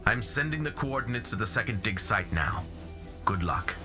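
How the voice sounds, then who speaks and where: A man plays a giant heroic robot leader in a game cutscene. The voice is very deep, with big swings in pitch, grave and formally measured. Clear music plays behind it. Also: lively intonation, lightly acted. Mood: commanding, grave. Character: noble, solemn giant male robot commander. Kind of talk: scripted video game cutscene acting.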